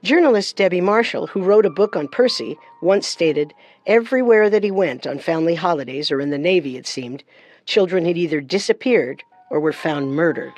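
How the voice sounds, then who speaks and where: A woman co-hosting and narrating a scripted true-crime show with measured, dramatic storytelling. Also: on the low side, animated, a little mellow, no echo. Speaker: podcast co-host and narrator.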